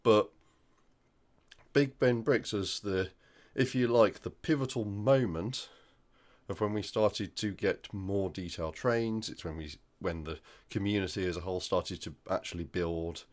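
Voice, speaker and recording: A man with a croaky voice from flu, hosting a hobby news roundup in a casual, hesitant way with many ums. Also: deep voice. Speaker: hobby channel host.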